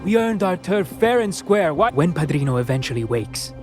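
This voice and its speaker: A man's voice: a little high, big pitch swings, normal speed, faint music behind. A man playing an impatient, defiant member of a crime family in a video game, challenging and urgent in manner. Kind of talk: video game character voice acting.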